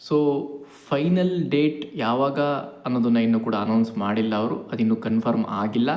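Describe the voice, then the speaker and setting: Male channel host, mid-pitched, explanatory and repetitive on key points, informal, mixing in English, recorded in an echoey room. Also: a little mellow. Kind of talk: informal exam update addressed to viewers.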